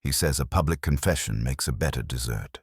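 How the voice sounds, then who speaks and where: A man voicing an anxious, well-bred wife in a detective story, husky, earnest and polite. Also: extremely low pitch, a little fast, no echo, clean studio sound, exaggerated voice.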